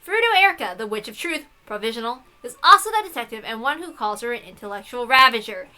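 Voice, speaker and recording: Bright, high-pitched woman reading game text. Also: expressive, fast. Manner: playful, giggly, swearing asides. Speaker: host of a game read-aloud playthrough. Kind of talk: reading game text aloud with casual commentary.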